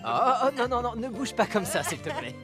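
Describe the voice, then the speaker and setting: A man playing a gentle, cautious father in a French-dubbed children's cartoon, explaining things expressively. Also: very high voice, big pitch swings, fairly quick, over loud music. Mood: anxious pleading.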